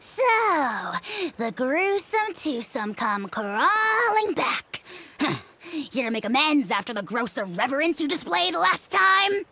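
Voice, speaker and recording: A bratty little dragon lord in a video game, puffed up and mocking, with a high voice that swings widely. It drags out its boasts slowly over game music. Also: female voice, very animated, sharp tone, full character voice. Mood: haughty. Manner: grandiose, mocking, laughs at others.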